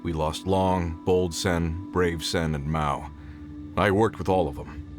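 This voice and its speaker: An earnest, weary foreman from a video game, speaks gravely. His voice is very low, and music plays clearly underneath. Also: fairly even intonation, a little slow. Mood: grave, troubled. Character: worried, weary male mine foreman, earnest. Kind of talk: English video game voice acting.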